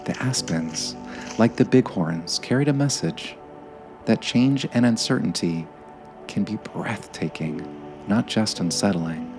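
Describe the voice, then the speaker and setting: A man narrating a scripted guided meditation in a gentle, measured way, with frequent pauses. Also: fairly low pitch, soft background music.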